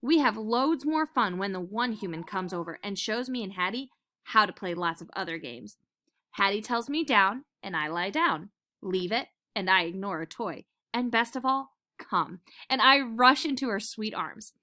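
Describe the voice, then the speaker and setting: A woman teacher reading a children's book aloud in a dramatic, expressive storytelling manner. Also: mid-range voice, normal speed.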